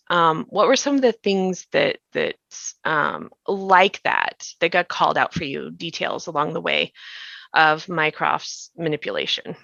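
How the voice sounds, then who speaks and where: A woman's voice: on the low side, creaky, a bit bright, no echo, lo-fi sound. A woman hosting a podcast discussion in a conversational, thoughtful way, often trailing off mid-thought. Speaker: podcast host and interviewer. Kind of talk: conversational behind-the-scenes discussion.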